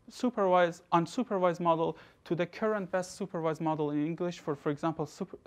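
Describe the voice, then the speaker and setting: A young researcher lectures from the front of an indoor room, picked up by a distant camera. His talk is loose, full of restarts and "you know" fillers. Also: sits high. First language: Persian. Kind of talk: academic lecture.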